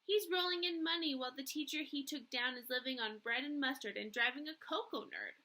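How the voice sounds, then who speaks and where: A woman reading a children's novel aloud like a teacher, with animated, dramatic emphasis and drawn-out words. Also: sits high. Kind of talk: expressive read-aloud of a first-person children's novel.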